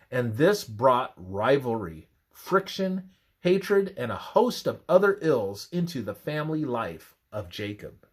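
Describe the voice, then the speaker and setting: A man reading a scripted religious narration in a measured, expressive manner. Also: fairly high pitch, husky.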